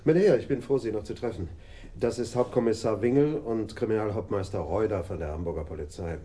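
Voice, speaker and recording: Guy doing polite police officer, low and really mellow dark voice, formal radio drama reporting, faint noise behind. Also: fast, natural voice. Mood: courteous, formal. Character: courteous male Amsterdam police officer, deferential, reporting. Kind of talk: German radio crime drama acting.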